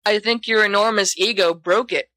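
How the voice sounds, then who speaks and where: A woman's voice: medium pitch, normal speed, bright, forceful tone, no room sound, close to an everyday voice. Woman as a mild dry-witted schoolboy, understated, teasing, audiobook dialogue, close dry recording.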